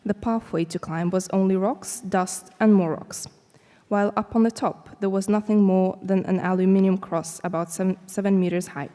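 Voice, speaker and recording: Woman student reading prose aloud, even and measured, warm tone, lecture-hall reverb. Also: medium pitch.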